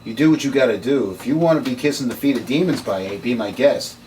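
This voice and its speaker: A man's voice: medium pitch, fast, a little mellow, lightly acted. A man playing a sardonic, world-weary noir occult investigator with slangy delivery in a script table read. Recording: distant mic, noisy room.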